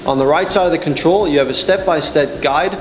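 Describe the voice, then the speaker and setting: A man in his twenties giving scripted instructional narration as a technical trainer, explaining each step in a measured way. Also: a little high, slight background noise. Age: 20s. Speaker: technical support and customer trainer. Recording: echoey, reverberant sound with background music. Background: background music.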